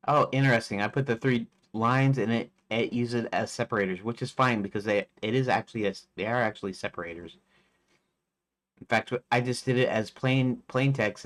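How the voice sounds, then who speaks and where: A man's voice: fairly low pitch, a bit bright. A man in his forties, a game developer chatting casually on a live stream, rambling with many fillers and restarts. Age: 40s.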